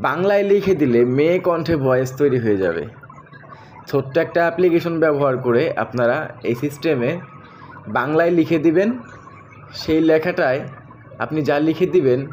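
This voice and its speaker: A man with a Bangladeshi accent and a slightly high voice talks quickly through an app tutorial. He addresses viewers directly and goes over each step again as he moves along. There is faint noise behind him. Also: darkish tone. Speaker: tech tutorial host.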